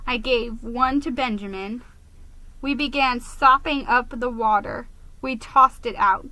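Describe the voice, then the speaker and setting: A woman's voice: fairly high pitch, measured pace, bright, forceful tone, no room sound, rough recording. A woman giving a dramatic, emphatic reading of a children's story. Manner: theatrical, emphatic. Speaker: storybook reader.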